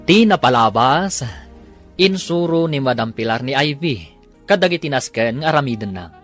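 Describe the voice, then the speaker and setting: A man's voice: medium pitch, lively intonation, normal speed, a bit bright, faint music behind. A man delivering dramatic scripted narration as the announcer of a radio drama.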